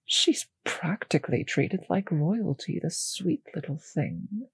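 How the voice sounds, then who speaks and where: Slow, breathy vampire mistress, acted by a woman. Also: on the low side, very animated, no room sound, full character voice. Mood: condescending, veiled threat. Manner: slow, teasing, condescending purr with whispered phrases. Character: cruel, possessive, seductive female vampire mistress toying with servant. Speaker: voice actor in an audio roleplay. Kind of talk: intimate scripted audio roleplay.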